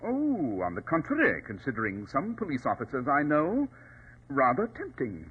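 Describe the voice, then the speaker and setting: An actor in a 1940s radio drama playing a coolly superior, sardonic detective with a clipped, precise, imperious delivery. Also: male voice, mid-range voice, wildly swooping pitch, moderate pace, breathy edge, exaggerated voice. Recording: dull, lo-fi old radio recording.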